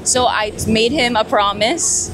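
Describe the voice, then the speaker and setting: A woman's voice: a little high, unhurried. Young us woman pageant vlogger, giggly casual chat, music going behind her. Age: 20s. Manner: chatty, giggly. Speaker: pageant contestant and vlog host.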